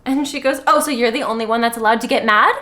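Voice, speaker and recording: A woman's voice: a little high, fast, pushed and bright. A woman co-hosting a podcast, giving a casual comedic book recap and acting out the characters in exaggerated, jokey voices. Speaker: podcast co-host.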